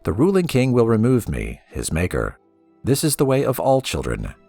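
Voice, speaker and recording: A man's voice: mid-range voice, wildly swooping pitch, normal speed, gravelly, mellow, no echo, soft background music, voice pushed up, heavily acted. A man reading aloud as a solemn, cryptic god-king who preaches prophecy in a portentous, incantatory way. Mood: grave warning.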